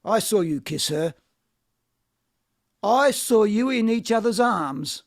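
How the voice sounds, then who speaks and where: A woman's voice: medium pitch, lively intonation, takes it slowly. A woman playing a despairing, self-loathing middle-aged man in an amateur play reading, in an anguished, theatrical manner. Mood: bitter, mocking. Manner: anguished, theatrical, bitterly laughing.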